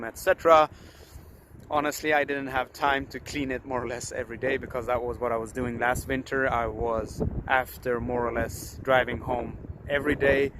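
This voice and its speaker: A young man with a Swedish accent, chatting casually and upbeat about his new car in a vlog. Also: mid-range voice. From Sweden. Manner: chatty, upbeat, self-deprecating asides. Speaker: car vlogger. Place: outdoors at a fuel station and by a car. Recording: outdoor wind and ambient noise.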